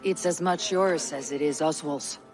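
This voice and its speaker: A woman's voice: deep voice, normal speed, over music, natural voice, poor audio. A woman voicing a stoic, resolute female Viking warrior in a video game, grave, firm and plain-spoken. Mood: encouraging. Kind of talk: dramatic video game acting.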